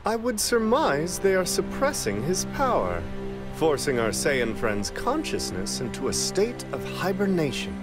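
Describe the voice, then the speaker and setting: A man's voice: fairly high pitch, huge pitch swings, unhurried, over loud music. A man playing a serene, refined angelic attendant in an anime video game, prim, formal and politely aloof. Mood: calm, analytical.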